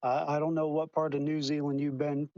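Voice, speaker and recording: Higher-voiced guy stumbling over his words defending himself, muffled dry discord mic. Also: flat delivery, brisk, no echo. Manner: stammering, defensive, many restarts. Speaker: debate participant defending himself. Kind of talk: online voice chat argument.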